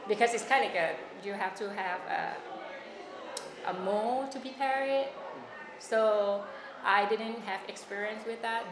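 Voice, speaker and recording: A woman from Thailand, a Thai speaker talking in English, being interviewed. She speaks haltingly and fills her pauses a lot while she hunts for words. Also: on the high side. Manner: halting, many fillers, searching for words. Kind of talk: casual oral history interview. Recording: echoey room with noise.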